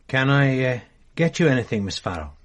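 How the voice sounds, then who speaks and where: An actor playing a blunt, worldly police sergeant who speaks matter-of-factly in a radio drama. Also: male voice, medium pitch, sing-song, brisk, a little hiss or hum, natural voice.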